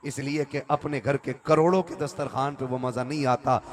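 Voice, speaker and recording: A man preaches an Islamic sermon to a live gathering. His voice sits at a middle pitch. He talks conversationally, slips into devotional verse and urges his listeners to respond. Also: steady tone, normal speed.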